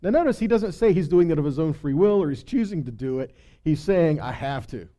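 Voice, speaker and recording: Mellow higher-pitched man teaching Bible study. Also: animated, brisk. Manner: conversational, frequently checks in with listeners.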